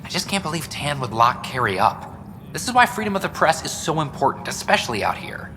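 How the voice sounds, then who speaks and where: An actor playing a chatty, dedicated news broadcaster on a space station in a video game, informal and earnest. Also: male voice, fairly high pitch, big pitch swings, fairly quick, bright, forceful tone. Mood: friendly, earnest. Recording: echoing hall, clear background noise.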